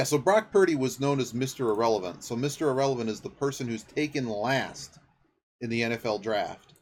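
A man hosting a sports podcast, giving casual off-the-cuff football commentary and thinking aloud in a rambling way. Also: medium pitch. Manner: rambling, thinking aloud, checking facts mid-sentence.

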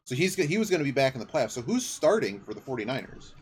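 A man's voice: medium pitch, big pitch swings, fast talker. Man talking football over faint noise. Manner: rambling, thinking aloud, checking facts mid-sentence. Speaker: sports podcast host. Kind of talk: casual off-the-cuff football commentary.

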